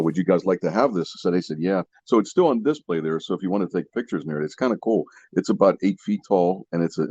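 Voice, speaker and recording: A man's voice: low voice, darkish tone, husky, no room sound. A man chatting casually as a podcast host in an agreeable, easily amused way. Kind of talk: casual chat. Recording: muffled lo-fi audio.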